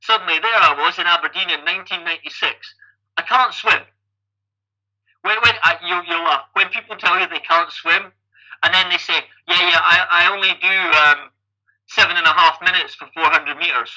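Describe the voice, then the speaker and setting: A man's voice: a little high, fairly even intonation, normal speed, pushed and bright, low-quality recording. A Scottish man in his fifties, a guest athlete and coach, telling stories in a chatty way and often repeating the first word of a sentence. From Scotland. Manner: chatty, repeats words when starting sentences. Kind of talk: casual interview storytelling.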